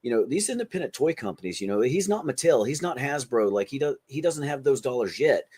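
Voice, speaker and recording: A man with a slightly husky, unpolished voice at a middle pitch. He chats casually as a podcast co-host, leaning on slang and swearing freely. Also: big pitch swings, fairly quick, a little mellow. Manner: slangy, profane. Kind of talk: casual banter.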